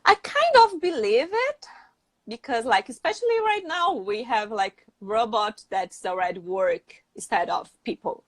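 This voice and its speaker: A woman's voice: a little high, expressive, a little slow, no room sound. A woman with a Brazilian accent, a native Portuguese speaker, hosting a casual English-learning live chat.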